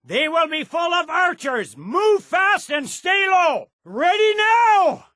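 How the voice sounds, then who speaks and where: Imperial general, middle-aged: proud, impatient, forceful and authoritative, dry close sound, for a fantasy game. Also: male voice, extremely high pitch, sing-song, no echo, full character voice. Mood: rallying, commanding. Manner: commanding, clipped military authority, deliberate emphatic delivery. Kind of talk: scripted video game voice acting.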